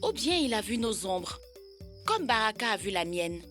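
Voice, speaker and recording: A woman's voice: medium pitch, big pitch swings, moderate pace, fairly bright tone, soft background music. A woman playing an inquisitive young child in an educational children's cartoon dub. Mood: doubtful.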